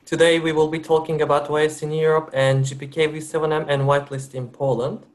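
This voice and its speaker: Male webinar host, fairly high voice, measured and hesitant delivery. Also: level tone. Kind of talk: corporate webinar presentation. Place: works for a company based in Turkey.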